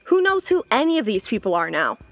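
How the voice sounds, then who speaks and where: A woman's voice: on the high side, sing-song, fairly quick, soft background music. A woman playing a jaded, bossy resident of a digital afterlife in an audio comedy, dry, blunt and sarcastic. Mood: sardonic. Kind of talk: scripted audio comedy drama.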